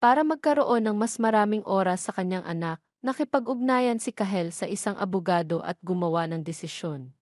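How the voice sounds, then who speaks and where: Female narrator, mid-pitched, very dry recording, for scripted romance storytelling. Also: expressive, a little fast, a little mellow, no room sound.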